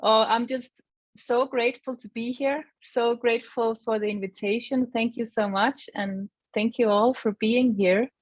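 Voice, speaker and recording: A woman from Iceland, giving a gentle, reflective personal testimony as a guest and often searching for her words. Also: a little high, fairly even intonation, moderate pace, no room sound, low-quality recording.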